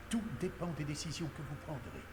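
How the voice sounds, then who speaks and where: An actor playing a suave, cryptic older male curator of stories in a French game dub, speaking slowly and theatrically. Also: a little high, wildly swooping pitch, noisy background. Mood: ominous. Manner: slow, measured, theatrical.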